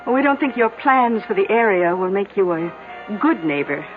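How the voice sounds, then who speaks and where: A woman's voice: medium pitch, lively intonation, moderate pace, lightly acted. Actress as sly small-town American woman, tinny old radio. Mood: coolly accusing. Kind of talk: 1970s radio drama acting. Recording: old tinny narrow-band radio, faint music.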